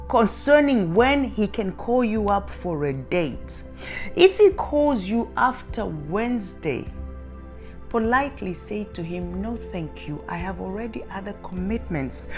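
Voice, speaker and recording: Female advice coach, middle-aged, very unhurried and instructive, emphatic on key points, music playing behind. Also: mid-range voice. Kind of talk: direct-to-audience advice talk.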